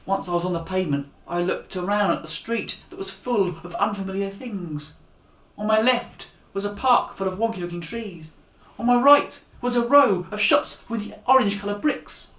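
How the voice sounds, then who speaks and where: Male storyteller for young audiences, animated and direct, recorded with noticeable room echo. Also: high-pitched. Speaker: author and storyteller. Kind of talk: story reading aloud for young listeners. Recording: echoey room.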